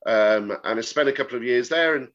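A man's voice: mid-range voice, fairly quick, fairly bright tone. A man from the UK, the guest on a podcast, telling stories in a wry, casual way. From United Kingdom. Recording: dull lo-fi audio.

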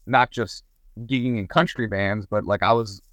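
A man's voice: fairly low pitch, steady tone, normal speed, a bit bright, no echo. A man from Southern Maryland, a singer-songwriter guest, talking casually with frequent restarts and fillers. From Southern Maryland, USA. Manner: chatty, many restarts and fillers. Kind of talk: casual podcast conversation.

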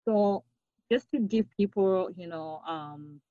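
A young woman from Zimbabwe, a podcast guest, speaking earnestly and reflectively, now and then with a soft laugh. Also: mid-range voice, slow, no echo, muffled recording. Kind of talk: conversational interview.

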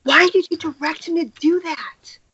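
A wronged, indignant film actress, acted by a woman at an amateur table read. Her voice sits extremely high. The audio is muffled, like an online call. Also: moderate pace, a bit bright, a little hiss or hum. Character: angry, betrayed actresses, a jealous woman and a waitress.

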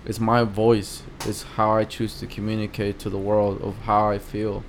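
A man's voice: lowish voice, completely flat delivery, moderate pace, slight background noise. A man in his thirties from Mexico with a Mexican accent, a guest artist talking hesitantly in a casual interview, with many fillers and restarts.

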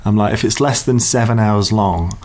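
A man co-hosting a podcast, chatting casually with a calm, soothing voice and laughing often. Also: lowish voice, normal speed. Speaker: podcast co-host. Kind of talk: casual chat.

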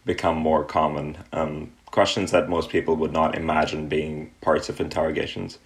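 A young man from Pakistan, an artist being interviewed, who answers thoughtfully with frequent ums and pauses. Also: extremely low pitch, level tone. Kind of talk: relaxed reflective interview answers.

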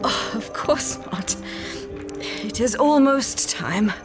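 Slow solemn dying village woman, kind but full of regret, higher voice, music under it. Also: lively intonation. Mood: resigned, afraid. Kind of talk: audio drama acting.

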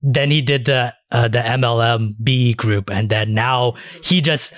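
A man's voice: medium pitch, steady tone, a little slow, fairly bright tone, dry recording. Casual man podcasting, close dry sound. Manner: profane, informal slang. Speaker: podcast co-host. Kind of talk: casual anime discussion chat.

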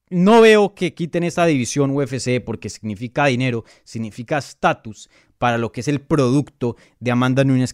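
A man's voice: fairly high pitch, dry recording, studio quality. A Colombian man hosting his channel, analyzing mixed martial arts in casual, emphatic talk and answering viewer questions with frequent fillers and restarts. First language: Spanish. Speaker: MMA analyst and channel host.

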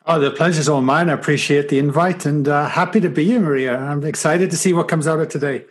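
Welsh guy in his 40s, mild accent, slightly higher voice, chatty and reflective, lots of restarts. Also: fast talker. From Wales. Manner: reflective, self-deprecating, many restarts. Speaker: guest. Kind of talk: casual interview chat.

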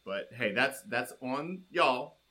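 Male comedy podcast co-host, bright and punchy, casual banter, emphatic and playfully exasperated. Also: medium pitch, lively intonation, slow pace.

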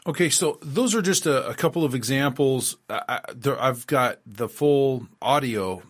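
A man's voice: medium pitch, animated, normal speed, slight background noise. A man hosting a radio show, talking casually and rambling, reading out quotes with frequent fillers and restarts. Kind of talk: casual spoken commentary.

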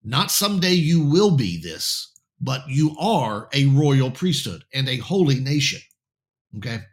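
A man of middling pitch teaches scripture on air, his voice slightly raspy and hoarse. He talks in halting bursts, repeating words as he restarts, and often checks in with a quick "okay?". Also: big pitch swings. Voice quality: raspy voice in the morning. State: slightly hoarse, clearing throat. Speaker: Bible teacher. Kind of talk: verse-by-verse Bible teaching on air.

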